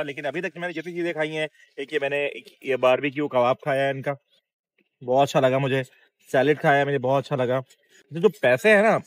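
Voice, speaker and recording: A man in his thirties with a Pakistani Urdu accent, hosting a casual food vlog outdoors and reacting with excited exclamations. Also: medium pitch. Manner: excited, exclamatory, chatty with staff. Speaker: food vlogger. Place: outdoor restaurant at dusk.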